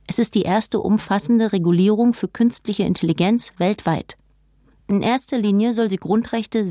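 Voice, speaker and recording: A woman's voice: mid-range voice, warm tone, no room sound. A woman narrating a scripted news documentary as a reporter, recorded close on a studio microphone.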